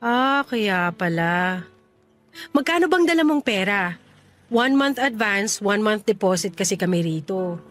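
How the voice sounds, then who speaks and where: A woman's voice: medium pitch, lively intonation, a little slow, fairly bright tone. A woman acting a friendly, teasing young woman who runs a boarding house, in casual conversational radio drama dialogue. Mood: curious, frank. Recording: music bed under the voice. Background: music.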